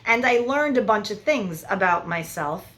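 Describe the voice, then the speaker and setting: A woman in her forties, a love coach giving frank, animated big-sister advice straight to camera. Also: medium pitch, moderate pace. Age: 40s. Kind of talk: direct-to-camera advice vlog.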